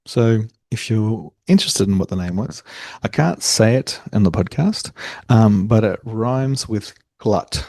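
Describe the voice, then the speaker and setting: A young Australian man hosting a solo podcast, speaking conversationally with frequent fillers and restarts and a voice he calls monotone. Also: fairly low pitch, unhurried, no room sound. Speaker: podcast host.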